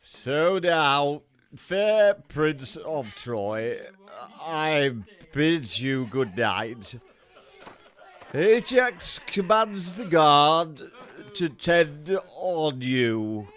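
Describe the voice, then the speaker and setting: An actor playing a ceremonious, commanding Greek army leader in a Shakespearean audio drama, speaking in a stately, proclaiming manner. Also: male voice, fairly high pitch, very animated, very slow, fairly bright tone, exaggerated voice. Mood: courteous.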